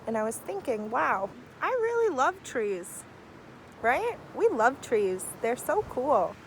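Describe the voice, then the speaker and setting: Woman, teacher, slow read-aloud, higher voice, storytime asides, outdoors. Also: slight background noise, poor audio. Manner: slow, warm storytime delivery with lively asides. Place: outdoors near trees.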